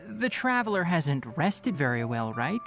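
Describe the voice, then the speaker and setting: An actor playing a young, composed, matter-of-fact scholar and ranger in a video game, speaking precisely and instructively. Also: male voice, on the high side, very animated, measured pace, a bit bright, full character voice. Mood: firm, instructing. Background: music.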